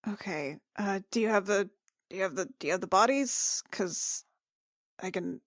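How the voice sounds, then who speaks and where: A woman playing an anxious young medic in a tabletop game, halting and stammering, repeating her words. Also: mid-range voice, dry recording, studio quality, natural voice. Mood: dazed, anxious. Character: rattled, anxious young woman medic and ship's crew member.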